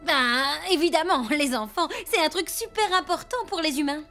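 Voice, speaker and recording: Female blackbird, piercing bright tone, animated swings, sarcastic, scolding, children's cartoon. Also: sits high, normal speed, faint music behind. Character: sharp-tongued, sarcastic little female blackbird. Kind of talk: cartoon voice acting.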